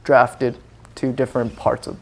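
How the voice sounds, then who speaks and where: A man who grew up in Singapore answers interview questions in an earnest, meandering way. His pitch sits in a mid range, and his sentences trail off and restart, padded with small fillers. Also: little pitch movement, unhurried, gravelly, a little mellow. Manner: earnest, rambling, with many fillers. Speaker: guest. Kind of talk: casual interview chat.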